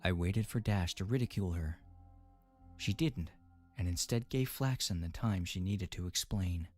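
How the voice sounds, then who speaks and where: A man narrating a dramatic first-person story in a brooding, measured manner. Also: low-pitched, darkish tone. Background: faint music.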